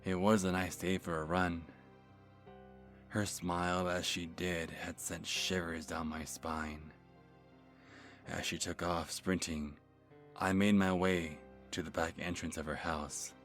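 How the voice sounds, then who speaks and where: Man performing an obsessive male stalker, low-pitched, nervous halting delivery, creepy infatuation, ASMR horror storytelling, background music. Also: little pitch movement, fairly bright tone, exaggerated voice. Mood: infatuated, dreamy. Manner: halting, stammering, breathy sighs and self-corrections. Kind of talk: first-person horror story in ASMR manner.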